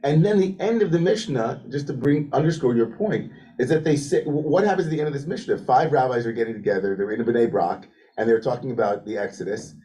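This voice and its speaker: A man leading a lively study discussion as rabbi, thinking aloud with many restarts and "like" fillers. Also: medium pitch, fast talker, poor audio. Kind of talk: animated teaching discussion. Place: synagogue room with a Zoom link.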